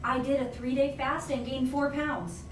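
A woman's voice: sits high, steady tone, normal speed. A woman in her thirties, a nutritionist guest on a radio show interview, speaking emphatically and energetically in a teaching tone, recorded indoors in a small office by a distant camera. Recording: echoey room, distant mic, background noise.

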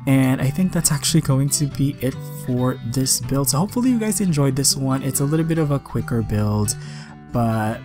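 A young man giving casual, chatty and enthusiastic voice-over commentary for a game video, with a slightly raspy voice. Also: medium pitch, expressive, moderate pace. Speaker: gaming video creator. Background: guitar music.

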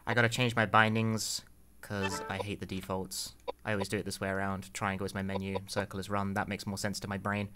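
A man's voice: low voice, monotone, brisk, hardly any pauses. Young man streaming over noisy game audio. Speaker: speedrun streamer. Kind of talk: casual live gaming commentary. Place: indoor room at home.

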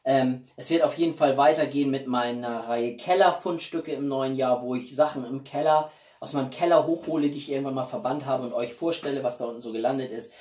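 A echoey, slightly distant recording of a man in his forties at mid pitch. He hosts a casual video chat from a small room, talking to viewers with expressive emphasis and pauses for effect. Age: 40s. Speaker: YouTube host. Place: small living room indoors. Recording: roomy echo, distant mic.

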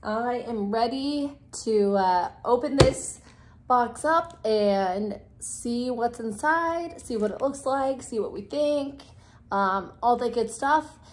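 A woman in her thirties talks into a close phone camera in her living room. Her voice is raspy and worn down by a cold, a little high, and she rambles along with plenty of ums. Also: takes it slowly, a little hiss or hum. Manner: chatty, rambling with many fillers. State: hoarse from a cold, low energy. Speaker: vlog host. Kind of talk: casual chat to camera.

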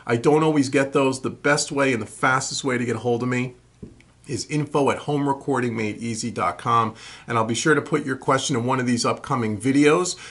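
A man in his forties with a husky voice hosts a tutorial, answering questions in a casual, conversational, explanatory way. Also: mid-range voice, fairly quick, fairly bright tone. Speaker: host of a home recording tutorial Q&A show. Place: small furnished room at home, indoors.

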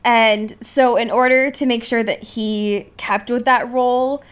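A woman's voice: a little high, steady tone, unhurried, smooth. A woman co-hosting a podcast, explaining things in casual, informal chat with some swearing. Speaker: podcast co-host. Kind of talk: casual film discussion chat.

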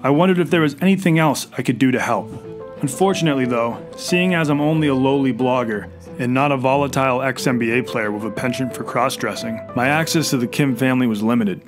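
A man's voice: mid-range voice, a little fast. A man narrating his vlog in a scripted voice-over, wry and sarcastic. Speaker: vlogger, host. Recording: voice-over with loud music behind.

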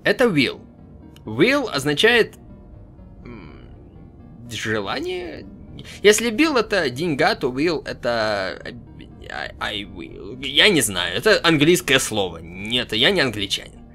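A young man records a casual let's play. His delivery is unhurried and swings widely in pitch, with halting asides over game music. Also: wildly swooping pitch, pushed and bright. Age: young adult. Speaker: let's player. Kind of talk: casual gaming commentary.

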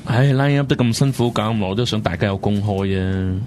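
A man's voice: fairly low pitch, a little fast, darkish tone, a little hiss or hum, lightly acted. An actor playing a brash, foul-mouthed, teasing man with a Hong Kong Cantonese accent in a casual radio drama. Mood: matter-of-fact.

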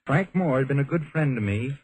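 Vintage radio supporting part, played by a man: troubled male captive, medium pitch, earnest, hesitant, apologetic. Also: lively intonation, fairly quick, a little mellow, lightly acted, poor audio. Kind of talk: old-time radio drama acting.

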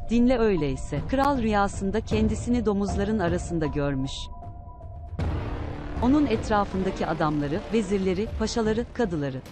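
A woman's voice: medium pitch, animated, normal speed, close to an everyday voice. A woman playing an imperious, shrewd serpent queen in a cartoon fairy tale, commanding and stern. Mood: stern, knowing. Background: prominent music.

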